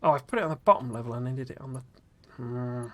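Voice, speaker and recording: A man streaming a game live, chatting in a rambling way with lots of fillers and restarts, his voice a little gruff after a cold. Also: mid-range voice, fast, fairly bright tone, slight background noise. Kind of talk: casual live-stream chat while playing.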